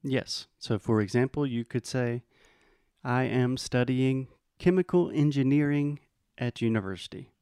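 A man's voice: mid-range voice, warm tone, clear, resonant tone, no room sound, clean studio sound. American man patiently teaching English phrases. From United States. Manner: patient, explanatory, models phrases slowly. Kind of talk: bilingual language lesson.

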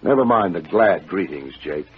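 Deep-voiced deadpan private eye. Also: male voice, normal speed, noisy background. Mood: dry, teasing. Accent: American. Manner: deadpan, wisecracking. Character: wry, unflappable, soft-hearted private detective. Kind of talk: 1950s radio detective drama acting. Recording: dull old radio recording, music behind.

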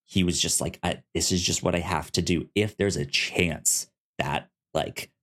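Male podcast co-host, low voice, casual conversational delivery, halting and stammering over word starts. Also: fairly even intonation, fairly bright tone. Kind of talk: casual discussion of an audio drama.

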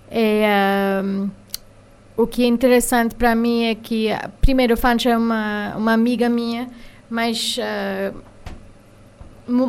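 A woman with an American accent, a singer speaking haltingly as a radio studio guest, pausing often with filler sounds. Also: on the high side, darkish tone, clean tone. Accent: American English. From United States. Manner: hesitant, many filler sounds while finding words. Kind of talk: radio interview.

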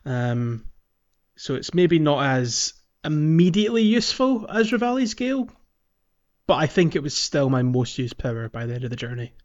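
A man's voice: fairly high pitch, big pitch swings, normal speed, muffled recording. A man hosting a podcast, discussing a video game casually and often chuckling mid-sentence. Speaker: podcast host.

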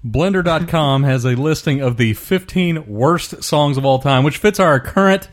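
A man's voice: a little high, moderate pace. A man co-hosting a casual podcast and running his segment in an easygoing, self-deprecating way. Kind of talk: casual chat.

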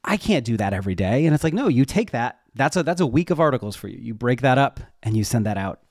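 A man's voice: mid-range voice, sing-song, quick pace, dry recording, studio quality. A man talking casually and informally as an interview guest, explaining how he works in a conversational way. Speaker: interview guest, web developer and educator.

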